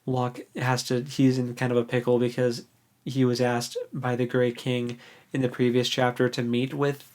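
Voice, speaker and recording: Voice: medium pitch, monotone, moderate pace, a little mellow. Casual co-host chatting about a book. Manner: informal, recapping in loose slang. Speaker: podcast co-host.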